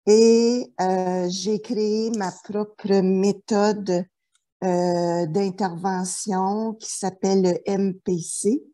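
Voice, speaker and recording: A woman's voice: medium pitch, steady tone, drawn-out words, dark tone. A middle-aged woman from New Brunswick, a therapist telling her life story in an interview, hesitant with many fillers and restarts. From New Brunswick, Canada. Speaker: therapist guest.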